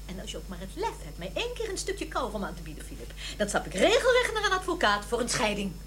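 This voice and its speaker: Radio drama role: sardonic, fed-up wife, high-pitched and very lively, nagging her husband. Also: quick pace. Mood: firm, threatening. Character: exasperated, sharp-tongued wife of a quitting smoker. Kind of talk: radio play acting. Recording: faint noise and hum.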